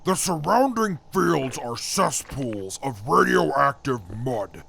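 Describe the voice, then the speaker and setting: A man's voice: mid-range voice, big pitch swings, slow, vocal fry, fairly bright tone, exaggerated voice. A man playing a disdainful ex-army scout in an audio drama, dry, stern and formal. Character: gruff, disdainful ex-army wasteland scout, male. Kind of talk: post-apocalyptic audio drama acting.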